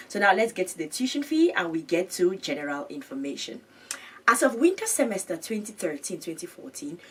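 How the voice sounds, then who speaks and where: A woman's voice: mid-range voice, slightly rough, far from the mic. A woman hosting an education channel, chatting through web pages on screen, repeating her points and laughing at her own pronunciation.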